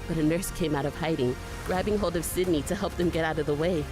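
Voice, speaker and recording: An actress plays a young woman battling monsters in a horror audio drama. Her voice sits slightly low as she tells it first-hand, sweary, shaken and conversational, with clearly audible music behind her. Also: fairly even intonation, quick pace, natural voice, low-quality recording. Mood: tense narration. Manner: sweary, breathless, conversational first-person storytelling. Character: gutsy, foul-mouthed young woman fighting monsters, narrating in shock.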